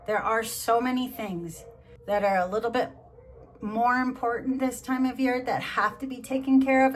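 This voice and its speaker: A middle-aged or older woman doing a storybook read-along, chatting to child listeners and gently asking them questions. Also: mid-range voice. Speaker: grandmother reading to children.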